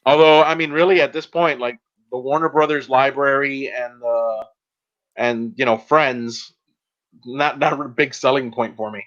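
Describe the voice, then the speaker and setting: Guy just chatting on a podcast, normal pitch, kinda dull lofi audio. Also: steady tone, a little slow, fairly bright tone. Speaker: podcast co-host. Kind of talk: casual chat.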